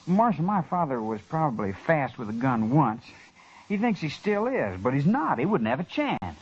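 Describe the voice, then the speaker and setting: An actor playing an earnest, anxious eighteen-year-old youth, polite and hesitant, in an old time radio western. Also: male voice, a little high, very animated, normal speed, breathy edge. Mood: earnest, worried. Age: young adult. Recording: muffled, dull old radio recording.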